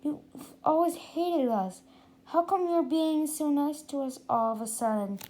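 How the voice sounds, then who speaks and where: A storybook reader voicing a puzzled, excitable schoolboy with an emphatic, questioning way of talking. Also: high-pitched, unhurried, voice pushed up. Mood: suspicious.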